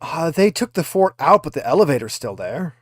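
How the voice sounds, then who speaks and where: A man voicing a haughty, impatient corporate woman liaison in a tabletop game, talking down to people in an informal, halting way. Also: a little high, very animated, breathy edge, no room sound, studio quality. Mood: breezy, impatient. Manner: dismissive, condescending, hesitant informal delivery.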